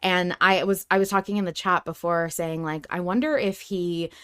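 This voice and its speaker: A woman with a fairly low voice, recorded close and dry. She chats casually as a podcast co-host, effusive and emphatic in how she puts things. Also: steady tone, moderate pace, a bit bright, clean tone, dry recording. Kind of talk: casual fan discussion chat.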